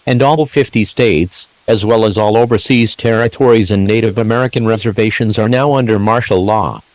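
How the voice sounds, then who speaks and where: A man reading a scripted emergency broadcast announcement in a formal, measured, official manner. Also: lowish voice, darkish tone, no echo, rough recording.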